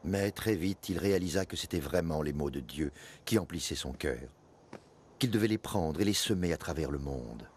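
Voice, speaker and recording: An actor playing a devout, solemn companion of the Prophet, telling of hardship in grave, measured animated-documentary narration. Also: male voice, extremely low pitch, a little hiss or hum. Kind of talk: dramatized first-person narration for an animated documentary.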